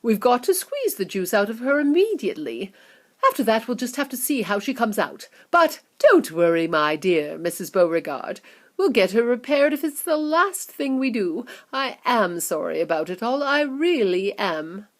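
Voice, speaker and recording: A woman's voice: on the high side, sing-song, normal speed. A woman reading a storybook aloud and voicing an eccentric male inventor who sighs and talks ruefully. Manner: sighing, rueful.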